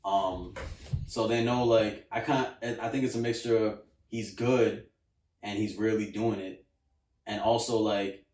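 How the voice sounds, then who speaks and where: A man's voice: lowish voice, steady tone, a little slow, a little mellow, recorded from a distance. A young man from New Jersey, a rapper guest, talking in a laid-back way full of "like" and "you know". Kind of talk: casual interview chat. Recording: roomy, from off mic.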